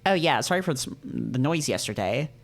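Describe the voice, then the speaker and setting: एक आदमी की आवाज़ में शिष्ट, झिझकता युवा पड़ोसी. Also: male voice, medium pitch, lively intonation, normal speed, creaky. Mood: polite, awkward. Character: friendly, proper young male newcomer in visual novel.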